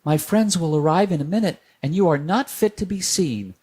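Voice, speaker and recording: A man's voice: fairly high pitch, moderate pace, darkish tone, breathy edge, pitched above the performer's own voice. A man doing a prim scolding mother cat. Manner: scolding, indignant.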